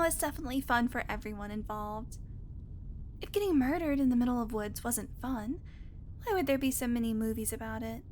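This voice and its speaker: A woman's voice: fairly high pitch, a little mellow, a little hiss or hum, natural voice. A woman playing a snarky, irreverent vampire passenger in an audio roleplay, talking casually with sarcastic, teasing complaints. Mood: playfully menacing. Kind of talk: audio roleplay acting, conversational monologue to the listener.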